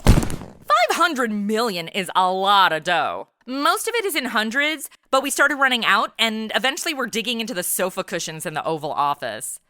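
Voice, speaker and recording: A woman's voice: mid-range voice, big pitch swings, normal speed, pushed and bright, no room sound. A woman playing a wisecracking, unimpressed superheroine in a comedic audio drama, with an American accent and a breezy, sarcastic manner.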